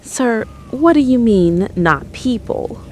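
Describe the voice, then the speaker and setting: A woman playing a steady emergency dispatcher, giving firm, controlled instructions. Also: a little high, slow, a little hiss or hum. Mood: controlled, concerned. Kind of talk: audio drama acting.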